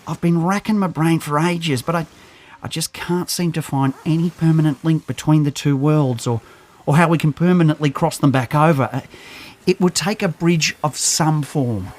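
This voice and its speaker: A man's voice: a little high, normal speed, natural voice. A man playing a nerdy, hesitant scientist in an audio drama, stammering and rambling. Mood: hesitant, thoughtful. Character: nerdy, hesitant male scientist with boyish glee.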